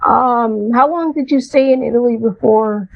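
A woman's voice: fairly high pitch, normal speed, creaky, lo-fi sound. A woman hosting an interview podcast in a casual style. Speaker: podcast host interviewing musicians.

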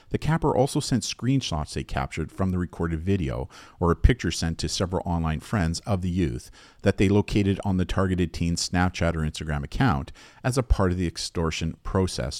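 A man with a low voice presents scripted educational material as a podcast host. His delivery is measured and explanatory, with very lively intonation, and the recording is dry and close. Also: no room sound.

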